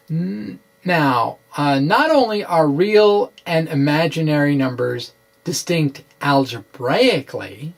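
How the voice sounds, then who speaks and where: A middle-aged man giving a dramatic reading of a science explainer, with deliberate, halting, theatrical phrasing, close to the microphone in a small room. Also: a little high. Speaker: science blogger presenting. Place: small indoor room with bookshelves. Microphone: microphone close in front on a stand.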